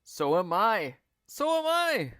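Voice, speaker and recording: A man's voice: sits high, huge pitch swings, slow pace, smooth, dry recording, clean studio sound, pitched above the performer's own voice, exaggerated voice. Man playing a blustering, nasty storybook husband. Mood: alarmed. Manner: blustering, shouty, indignant.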